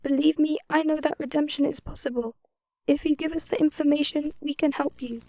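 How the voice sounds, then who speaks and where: An actress playing an earnest team member in an audio drama. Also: female voice, high-pitched, fairly even intonation, fairly quick, mellow, no room sound. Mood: pleading.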